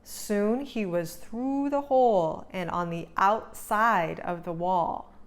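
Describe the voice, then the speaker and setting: A woman narrating a children's storybook as a read-aloud host, with a dramatic, expressive storytelling delivery. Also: mid-range voice, slow pace.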